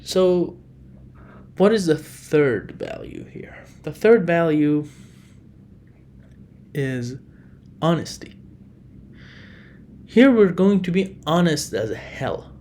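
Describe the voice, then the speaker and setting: A man from Iran, a native Farsi speaker with an Iranian accent, hosting a solo podcast in an unhurried way, often restarting and correcting himself. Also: fairly high pitch, very animated, a little mellow. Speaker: podcast host.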